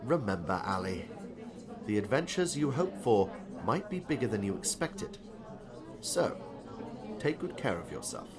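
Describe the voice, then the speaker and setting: Actor as a thoughtful male novelist, swooping pitch. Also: big pitch swings, measured pace, pauses often, crowd noise. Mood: warm farewell. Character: kindly male novelist, thoughtful and gently encouraging. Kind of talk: audiobook drama acting.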